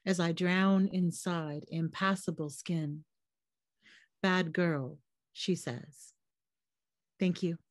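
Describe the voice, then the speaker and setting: A Canadian woman, a poet, giving a poetry reading on a video call, her voice slightly hoarse, quick in her intros and measured as she reads. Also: on the low side, steady tone, no echo, clean studio sound. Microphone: computer mic on a video call.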